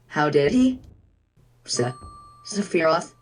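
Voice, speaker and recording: Guy acting shaken young soldier, highish voice, slow n halting, lots of reverb, game fandub. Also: sing-song. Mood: shaken disbelief. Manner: hesitant, halting, disbelieving. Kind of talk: fan-dubbed video game drama. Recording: echoey long reverb, music behind.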